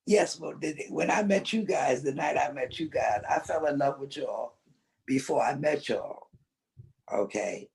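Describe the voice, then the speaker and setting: Older woman, gravelly, emphatic, repeating herself, podcast guest. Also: low voice, a little slow, a little mellow. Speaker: guest, veteran swing dancer. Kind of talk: animated conversational storytelling.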